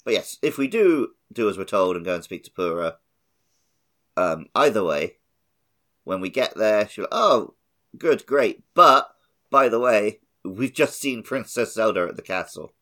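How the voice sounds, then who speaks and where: A man's voice: fairly low pitch, animated, a little slow, sharp tone. A man co-hosting a gaming podcast in casual, conversational chat. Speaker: podcast co-host. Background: background music.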